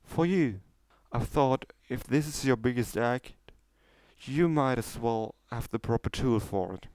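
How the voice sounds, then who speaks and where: A man's voice: mid-range voice, unhurried, a little mellow, no room sound, lightly acted, poor audio. A man voicing a kindly, encouraging male stallion in a storybook reading. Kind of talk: storybook character voice.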